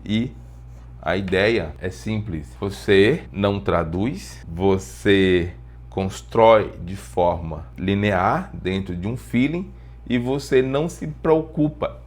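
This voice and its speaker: A man's voice: fairly low pitch, slow, a little mellow. A man with a Brazilian accent giving an informal lesson as an English teacher, explaining through personal stories, repeating phrases for emphasis and posing rhetorical questions.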